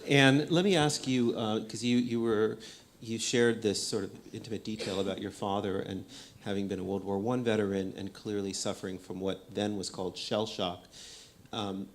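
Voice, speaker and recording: Deliberate low-voiced male moderator. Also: fairly even intonation. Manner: deliberate, searching for words. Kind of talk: asking a panel question.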